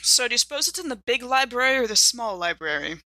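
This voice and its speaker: A woman playing in a tabletop game podcast, chatting in a casual, playful style. Her voice sits a little high and is strikingly bright, in a close, dry recording. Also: lively intonation, fast, dry recording, rough recording. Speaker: podcast player.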